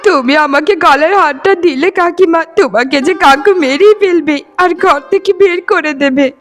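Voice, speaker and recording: A woman's voice: high voice, moderate pace, natural voice. A woman voicing a poor, desperate daughter in a melodramatic cartoon, imploring tearfully and repeating her pleas. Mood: pleading. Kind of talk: melodramatic Bengali cartoon voice acting.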